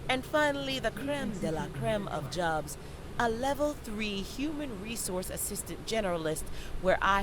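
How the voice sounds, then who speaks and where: An actress playing a proud, sharp-tongued, working-class Jamaican college student, fed up and sardonic, in a theatrical audio-play monologue. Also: female voice, on the high side, noisy background. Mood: exasperated, boastful. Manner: sardonic, animated, theatrical storytelling.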